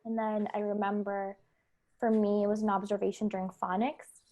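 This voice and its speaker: A woman's voice: medium pitch, brisk, highs cut off. Young Canadian woman telling teaching stories. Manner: animated anecdote telling. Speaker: elementary teacher, guest. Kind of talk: casual conversation, storytelling.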